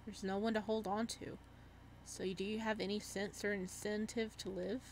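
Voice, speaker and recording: A woman giving casual let's-play commentary and reading game text aloud, with an excitable, reactive manner. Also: mid-range voice, moderate pace, a little hiss or hum. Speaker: gaming streamer.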